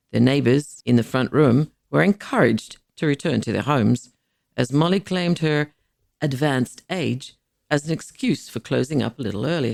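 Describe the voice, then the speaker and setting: A woman's voice: extremely low pitch, creaky, darkish tone, no room sound, studio quality. A woman narrating a scripted, dramatised history podcast as a storyteller.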